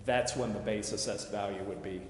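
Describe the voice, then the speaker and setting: A man with a fairly low voice presents at a public meeting. He explains methodically and qualifies his points as he goes. The room is echoey with long reverb, and faint noise sits behind him. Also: steady tone, brisk. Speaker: presenter answering committee questions on project financing. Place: large echoey council chamber. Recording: echoey hall reverb, clear background noise.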